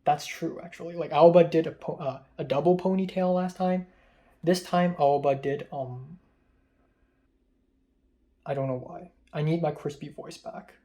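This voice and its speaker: Young Hong Kong English-accented male vlogger; raspy, muffled, mellow, a touch high; rambling, self-deprecating confessions. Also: fairly even intonation, a little slow, pauses often. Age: young adult. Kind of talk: confessional vlog talk.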